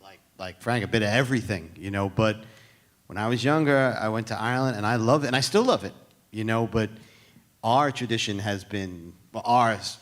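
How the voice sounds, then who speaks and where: A man's voice: on the low side, moderate pace. A man from Brooklyn, a musician on a panel, talking casually with wry, joking asides. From Brooklyn, USA.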